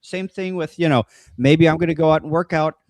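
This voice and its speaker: A man's voice: fairly high pitch, a little fast, darkish tone, dry recording, clean studio sound. A man in a casual panel chat, talking bluntly and with strong opinions as a guest explainer. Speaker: guest explaining amateur radio contests.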